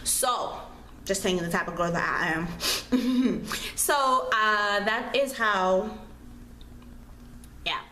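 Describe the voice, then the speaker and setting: A young woman vlogger tells a story in a casual, chatty way. She is slangy and dramatic and repeats herself for emphasis. Her medium-pitched voice echoes in the room over faint background noise. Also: slow pace, pauses often, bright, forceful tone. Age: young adult. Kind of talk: casual animated storytelling to camera. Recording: roomy echo, clear background noise.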